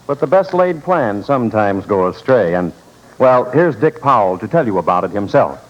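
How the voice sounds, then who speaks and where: A man's voice: on the low side, sing-song, normal speed, noisy background. An American man reading scripted ads and introductions as a 1940s radio announcer. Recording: dull 1940s radio broadcast.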